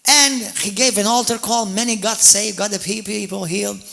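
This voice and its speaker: A man's voice: high-pitched, moderate pace, vocal fry. A man preaching a sermon as pastor, telling stories with energy, repeating and restarting words, and speaking straight to the congregation. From Malta. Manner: animated storyteller, repeats and restarts words, addresses the congregation directly.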